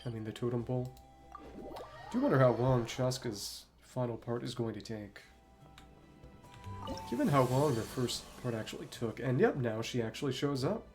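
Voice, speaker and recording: A man's voice: medium pitch, expressive, normal speed, long pauses, darkish tone, faint music behind. A man streaming a game, musing to himself in short asides and reading dialogue aloud in a casual way. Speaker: gaming streamer.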